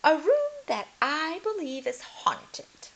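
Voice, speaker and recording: A woman voicing a firm, anxious schoolmistress in a storybook, speaking emphatically in a much higher, louder voice. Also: huge pitch swings, slow, gravelly, exaggerated voice. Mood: emphatic.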